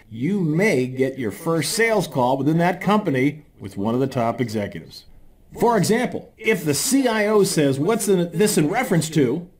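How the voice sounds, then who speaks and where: A man presenting an instructional sales talk as a trainer, emphatic and directive, with deliberate phrasing. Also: fairly high pitch, a little mellow.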